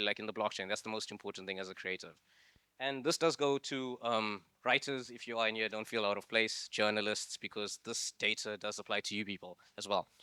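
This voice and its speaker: A man's voice: on the low side, bright, forceful tone, smooth, no room sound. A young man from Zimbabwe giving a live masterclass in a loose, conversational way, with plenty of fillers. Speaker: masterclass speaker. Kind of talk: live presentation to an audience.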